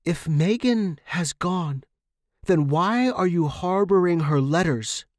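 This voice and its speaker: A man's voice: high voice, slow pace, no room sound, clean studio sound, pitched above the performer's own voice. A man voicing a defiant, frightened young woman in a horror story, urgent and prone to stammering. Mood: demanding, angry. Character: frightened, defiant young woman, new tenant demanding answers. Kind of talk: dramatized horror audiobook reading.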